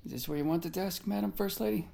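A man's voice: high voice, fast, gravelly, a little mellow. A man playing a deferential workman who moves furniture in an audio drama.

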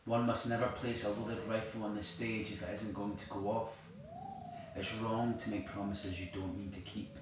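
An actor playing an angry, uncompromising climate activist in an audio play, preaching and arguing emphatically. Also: male voice, lowish voice, flat delivery, normal speed, natural voice. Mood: pointed. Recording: very echoey room, distant, faint noise.

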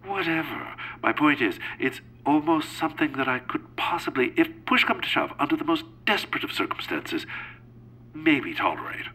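An actor playing a gruff, pompous baron uncle in an audio comedy, curt and reluctant to be kind. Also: male voice, on the high side, sing-song, moderate pace, sharp tone, heavily acted, rough recording. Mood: grudging. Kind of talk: audio fiction comedy acting.

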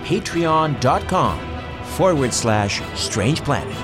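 A man's voice: a little high, big pitch swings, takes it slowly, over loud music. A man hosting a talk podcast, delivering scripted narration and sponsor reads. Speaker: podcast and radio host.

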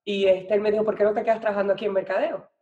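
A woman's voice: mid-range voice, level tone, rapid-fire, warm tone, highs cut off. A middle-aged woman with a Puerto Rican accent telling her story conversationally as a podcast guest, chatty and mixing in English business terms. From Puerto Rico. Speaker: podcast guest, real estate and banking professional.

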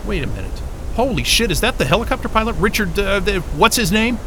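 A man's voice: high-pitched, big pitch swings, fast, noisy background. A man playing an irritable, foul-mouthed astrophysicist at a polar station in a naturalistic audio drama, with a blunt, sarcastic, agitated manner. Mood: alarmed, shouting.

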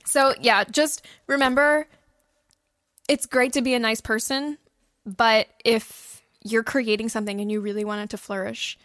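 A woman's voice: fairly high pitch, fairly even intonation, measured pace, fairly bright tone, dry recording, clean studio sound. A woman co-hosting a casual podcast, giving advice in an emphatic way and acting out examples as she goes. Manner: emphatic, acts out imagined thoughts and quotes. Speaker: podcast host and audio drama producer. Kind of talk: casual behind-the-scenes advice chat with a co-host.

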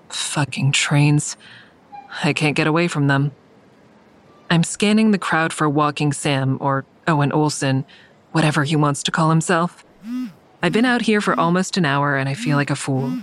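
Audio drama lead: woman, blunt, wry, tired but defiant first-person narrator. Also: deep voice. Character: weary, defiant woman narrating her own thriller.

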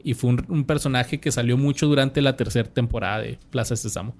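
A man's voice: medium pitch, fairly quick. A young adult man with a Mexican accent, casually reading out a biography on a podcast with slangy asides. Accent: Mexican Spanish. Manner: laid-back, slangy, reads from notes with restarts. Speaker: podcast host.

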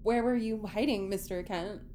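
A woman's voice: medium pitch, normal speed, a little hiss or hum, natural voice. Woman playing a blunt, starstruck young superfan. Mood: blunt, wary. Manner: blunt, deadpan, snarky. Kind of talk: audio drama acting.

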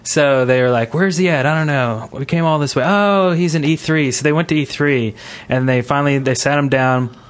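A man hosting a casual gaming podcast, talking in a halting, rambling way. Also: fairly high pitch. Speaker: podcast host.

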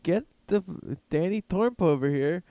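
A man's voice: high voice, animated, measured pace, dark tone, no echo. A man co-hosting a comedy podcast, riffing in casual, slangy banter. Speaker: podcast co-host.

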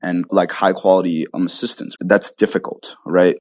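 A man's voice: sits low, level tone, normal speed, darkish tone, no echo. Young man chatting casually, dry close sound. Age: young adult. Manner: enthusiastic, informal, often checks in with "right?" and "you know". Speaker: interview guest, tech startup founder. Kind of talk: casual interview conversation.

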